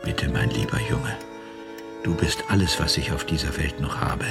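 An actor playing an anguished, pious old father in a radio drama, halting and imploring. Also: very deep voice, expressive, vocal fry, exaggerated voice. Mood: pleading, sorrowful. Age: older. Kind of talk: German radio drama acting. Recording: studio radio play with music underneath.

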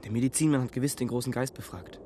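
A man's voice: mid-range voice, very fast, dark tone, slight background noise, natural voice. A man acting in a radio drama as a laconic, guarded Comanche scout with an unruffled manner. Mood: calm, guarded. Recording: music under the dialogue. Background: music.

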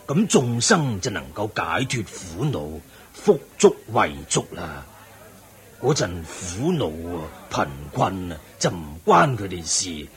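A wise lay Buddhist master with a low male voice and very unhurried delivery. His pitch rises and falls expressively as he teaches with magnanimous calm, in the manner of a radio drama. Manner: measured, didactic, magnanimous. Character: wise, benevolent male Buddhist lay sage, calmly preaching. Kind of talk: Cantonese radio drama acting.

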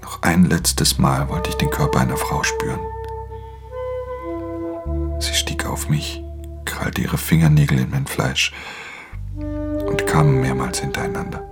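A man's voice: huge pitch swings, measured pace, long pauses, over loud music, heavily acted. An actor playing a weary, hard-edged thief in a radio drama, speaking in a terse, subdued way as he narrates and talks. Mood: detached, grim. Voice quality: very deep, gravelly. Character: weary, hard-edged male thief narrating his own crime story. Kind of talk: radio drama, first-person narration and dialogue.

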